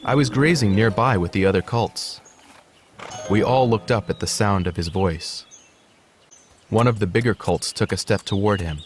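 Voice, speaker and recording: A man playing a gentle horse who narrates his life story in a measured, earnest storybook style. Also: on the low side, a little hiss or hum, lightly acted. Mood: reflective.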